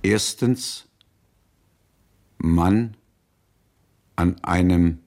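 An actor playing a brooding man in a radio drama, listing things in halting, repeated fragments. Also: sits low, creaky, darkish tone, exaggerated voice. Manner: halting, enumerating, repetitive.

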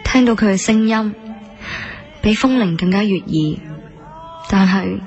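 A woman's voice: medium pitch, measured pace, a little mellow, dull audio, close to an everyday voice. Actress as prickly young café woman, Hong Kong Cantonese, earnest, introspective radio drama narration, music underneath. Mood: jealous, aching. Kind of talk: radio drama acting with narrated inner monologue.

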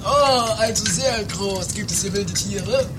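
An actor playing an exhausted male plane-crash castaway in an improvised radio play, halting and melodramatic. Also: medium pitch, very noisy, close to an everyday voice. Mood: weary, curious. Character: exhausted, gasping shipwrecked plane-crash survivor, a grown man.

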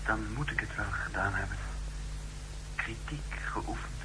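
A man's voice: on the low side, a little slow, sharp tone. Submissive brainwashed young male agent. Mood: dazed, submissive. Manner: hesitant, obedient. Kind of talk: 1960s radio drama acting. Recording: noisy, humming 1960s radio recording.

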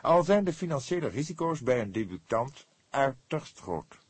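A man's voice: medium pitch, sing-song, moderate pace, slightly rough, dry recording, pitched above the performer's own voice. A man voicing a pompous male book publisher in an audiobook, stately and bombastic with swelling emphasis. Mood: indignant.

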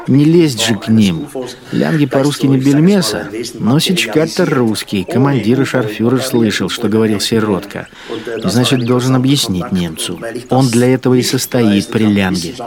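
An actor playing a cynical, contemptuous collaborator machine gunner who mutters sneeringly to himself in a radio drama. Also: male voice, mid-range voice, natural voice. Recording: loud music bed under the voice.

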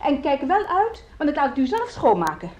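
A woman's voice: sits high, huge pitch swings, normal speed, a little mellow. A woman playing a fussy, solicitous landlady of students in a radio drama, nagging and repeating her words, on an old recording. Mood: scolding, exasperated.

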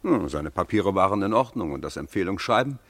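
An actor playing a dignified, courteous government minister, formal and authoritative, in a radio crime drama. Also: male voice, low-pitched, expressive, fairly quick, a bit bright, no room sound. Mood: courteous, businesslike.

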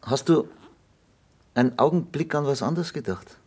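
An actor playing a persistent police detective in a German radio drama, questioning in measured, insistent short sentences. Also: male voice, fairly high pitch, darkish tone, husky. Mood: insistent, matter-of-fact. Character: probing, persistent male police detective inspector.

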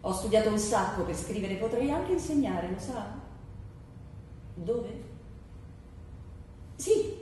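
A woman's voice: medium pitch, animated, takes it slowly, long pauses, dark tone. A woman playing an over-agreeable, desperate job applicant in her thirties, gushing and repetitive in a theatrical satirical monologue. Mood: gushing, nervous. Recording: very echoey stage, distant mic, faint noise.

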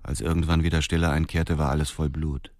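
An actor playing a cold, vengeful widower who narrates his brutal deeds in a detached, measured crime radio drama. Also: very deep voice, level tone, darkish tone. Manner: detached, measured, matter-of-fact. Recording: music under the voice.

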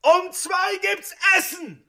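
An actor playing a soldier who shouts clipped drill commands in a radio drama. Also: male voice, very high voice, slow pace, gravelly, exaggerated voice. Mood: rousing, commanding. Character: barking male soldier giving mock drill commands. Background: music.

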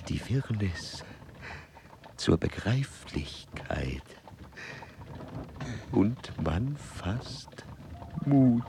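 An actor in a German radio play playing a frail old explorer, earnest and obsessed with facts, speaking haltingly and pedantically in a creaky voice. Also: male voice, on the low side, slight background noise, full character voice.

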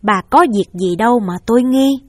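Woman voicing a stern reproachful father, higher-pitched voice, dry close sound. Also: moderate pace, dry recording, close to an everyday voice. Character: stern middle-aged father, a district official.

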